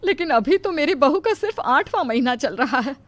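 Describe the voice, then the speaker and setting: A woman's voice: high voice, expressive, moderate pace. A woman voicing an anxious, admonishing middle-aged mother-in-law in a cartoon moral story. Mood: concerned. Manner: fretful, admonishing.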